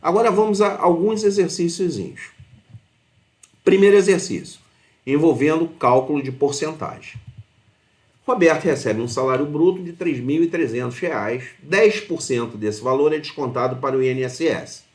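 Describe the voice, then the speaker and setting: Animated Brazilian man teaching, roomy sound. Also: on the high side, moderate pace. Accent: Brazilian Portuguese. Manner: conversational, often checks understanding with short tag questions. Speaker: math teacher. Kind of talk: informal step-by-step lesson. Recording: roomy, echoing voice.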